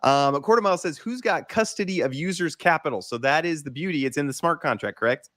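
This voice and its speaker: A man's voice: a little high, lively intonation, quick pace, fairly bright tone. A man hosting a livestream interview, upbeat and encouraging as he reads out audience questions. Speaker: livestream host.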